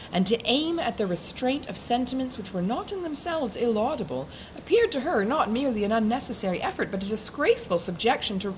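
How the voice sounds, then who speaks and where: A woman reading a classic novel aloud in a measured, formal way, as an audiobook narrator. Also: medium pitch. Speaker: audiobook reader. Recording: clearly noisy background.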